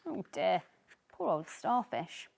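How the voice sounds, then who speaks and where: Very animated, unhurried woman storyteller. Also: lowish voice, vocal fry. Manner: dramatic, drawn-out delivery. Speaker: children's story reader. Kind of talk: expressive read-aloud storytelling.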